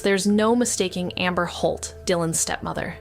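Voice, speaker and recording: A woman's voice: fairly low pitch, normal speed, creaky, faint music behind. A woman playing a wry, anxious, sweary amateur sleuth, narrating a noir audio drama in the first person. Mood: wry, tense.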